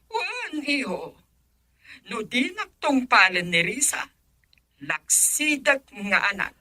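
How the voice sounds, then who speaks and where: A woman's voice: on the high side, big pitch swings, slow, pushed and bright, heavily acted. An actress playing a stern, status-proud middle-aged mother in an Ilocano radio drama. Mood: adamant.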